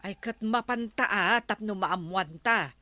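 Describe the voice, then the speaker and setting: A woman playing a chatty, inquisitive neighbour in an Ilocano comedy drama, expressive and full of exclamations. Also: medium pitch, takes it slowly, no room sound. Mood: concerned, eager.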